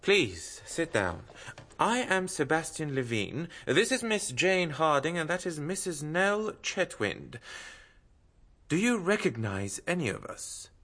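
Give this to a composed man recounting events in a radio drama. His voice sits a little high for a man. The intonation swings widely as he moves between storytelling and dialogue. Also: very animated, slow, fairly bright tone, full character voice. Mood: urgent, composed. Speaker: narrator and character.

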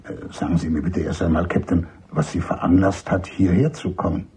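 A man playing an older army major and doctor in a radio drama, husky, emphatic and cynical, courteous but dismissive. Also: low voice, little pitch movement, fairly quick, dark tone. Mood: reserved, evasive. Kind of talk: German radio drama acting.

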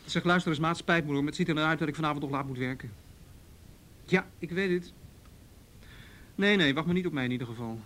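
A man's voice: a little high, fast, long pauses, fairly bright tone, natural voice. A man acting in a radio play as a patient, principled police officer on duty, in naturalistic dialogue. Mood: weary.

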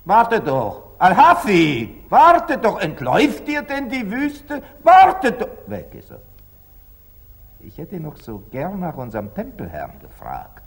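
Theatrical husky merchant, wildly swinging pitch. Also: male voice, huge pitch swings, takes it slowly, exaggerated voice. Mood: urgent calling, then resigned. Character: lively, affectionate, wise wealthy merchant father, Nathan. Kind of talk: 1940s German radio stage acting.

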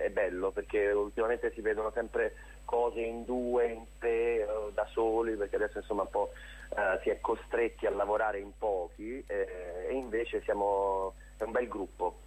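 A man giving a casual, unscripted interview as a theatre director, halting and often repeating his words. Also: lowish voice, steady tone, a little mellow, husky, rough recording. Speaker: theatre director, radio guest.

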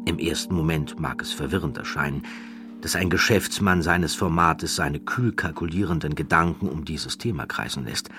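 A man narrates a scripted documentary-style story in measured, deliberate phrases with dramatic emphasis. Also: extremely low pitch, over music.

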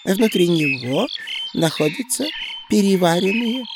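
A fairly high-pitched botanist, keen and expository. He speaks with emphasis, as in a children's educational drama. Birds and frogs are clearly audible behind him. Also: lively intonation, unhurried. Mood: explanatory. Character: enthusiastic male botanist explaining carnivorous plants. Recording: noisy jungle backdrop of birds, frogs.

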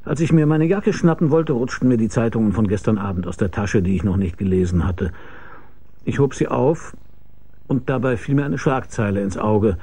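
A man's voice: on the low side, expressive, normal speed, warm tone, husky. An actor playing a hard-boiled, laconic private detective who narrates his own case in a German radio crime drama. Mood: brooding, wry. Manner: laconic, dry, probing.

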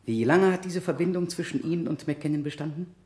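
An actor playing a relentless, aggressive prosecutor in a courtroom radio drama, pressing with theatrical rhetoric. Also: male voice, fairly high pitch, brisk, close to an everyday voice. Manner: forceful rhetoric, pressing questions. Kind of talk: 1970 German courtroom radio drama acting. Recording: echoey room, 1970 radio production.

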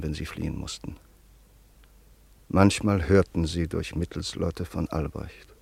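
Deep, dry-voiced radio play narrator. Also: male voice, creaky, darkish tone, husky, dry recording. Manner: measured, sober.

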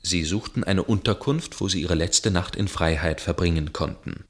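An actor playing a tormented storyteller recounting a dark tale in a German radio play, with grave, measured, literary delivery. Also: male voice, low-pitched. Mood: grave storytelling.

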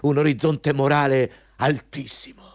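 An actor playing a domineering, hypocritical company boss in a theatrical Italian radio drama, pompous and mocking in manner. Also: male voice, mid-range voice, level tone, normal speed, creaky, lightly acted. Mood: pompous, bossy. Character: domineering, hypocritical male company boss interviewing a job applicant.

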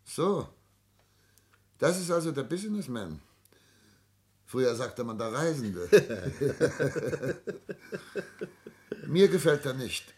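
An older man acting in a German radio play as a cultured, melancholy widowed patriarch, speaking in deliberate, theatrical, literary phrases. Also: mid-range voice, big pitch swings, darkish tone, a little hiss or hum. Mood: wry, animated.

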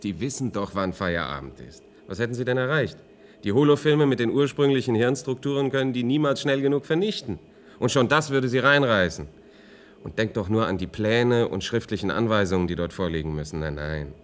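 An actor as a reassuring man in a science-fiction radio play. His voice sits at an ordinary middle pitch. He reasons patiently, like a steady companion to someone worried. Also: brisk, slight background noise, natural voice. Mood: calming, insistent. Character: reassuring, reasoning male companion of an anxious woman.

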